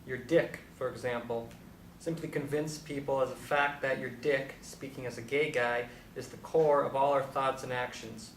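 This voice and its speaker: Picked up from a distance in a roomy space, a man plays an aging Beat intellectual on stage. He is blunt and irreverent, philosophizing deliberately in a mid-range voice. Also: mid-range voice, steady tone, close to an everyday voice. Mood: pontificating. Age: older. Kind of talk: stage play dialogue. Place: live stage performance recorded from a distance. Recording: echoey, distant mic, faint noise.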